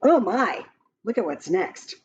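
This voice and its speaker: A woman reading a children's picture book aloud in an expressive, sing-song way, adding chatty asides about the pictures. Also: medium pitch, slow, slight background noise. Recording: slightly dull lo-fi, music behind. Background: music.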